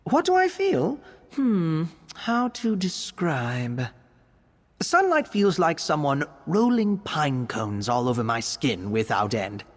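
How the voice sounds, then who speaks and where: A man playing an earnest, bookish young male vampire alchemist in a video game, speaking in an articulate, expressive way. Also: a little high, slow, big-room sound, full character voice. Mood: eager, wondering. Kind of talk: video game character voice acting.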